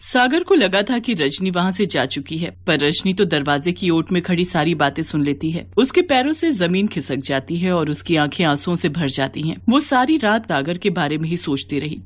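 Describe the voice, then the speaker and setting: A woman's voice: fairly low pitch, animated, moderate pace, hardly any pauses. A woman narrating a scripted moral story as a storyteller.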